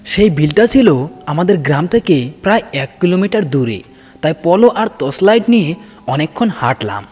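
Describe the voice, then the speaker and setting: A man's voice: on the high side, sing-song, normal speed, a little mellow, husky. A man narrating a scripted first-person ghost story in a dramatic storytelling style. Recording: long cavernous reverb, music underneath. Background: background music.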